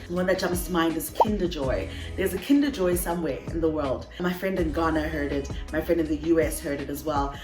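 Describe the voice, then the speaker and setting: Bubbly Cameroonian woman in an echoey room. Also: on the low side. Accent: Cameroonian English, shifting toward British. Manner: bubbly, laughs often, playful asides. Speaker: vlogger and voiceover artist. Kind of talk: casual chat to camera.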